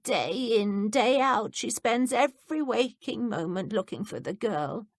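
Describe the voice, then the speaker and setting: A woman voicing a frail, forgetful old woman with a quavery, sing-song voice who rambles slowly. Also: fairly high pitch, animated, dry recording, clean studio sound, voice pushed up. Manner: rambling, slow.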